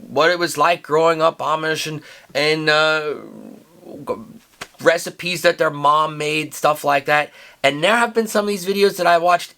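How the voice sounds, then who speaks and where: A man's voice: a little high, bright, forceful tone. A man in his forties with a Pennsylvania Dutch accent, chatting casually and joking as co-host. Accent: Pennsylvania Dutch-influenced American English. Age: 40s. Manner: folksy, joking, talks in restarts. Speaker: co-host, high school teacher. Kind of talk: casual chat.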